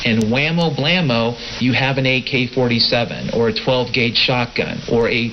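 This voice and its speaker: Guy hosting a folksy radio rant, mid-pitched voice, lots of hiss over shortwave. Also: moderate pace. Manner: folksy, addresses listeners as friends, brothers and sisters. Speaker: host of a shortwave podcast broadcast. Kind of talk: informal opinion monologue to listeners. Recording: hissy, muffled shortwave radio broadcast.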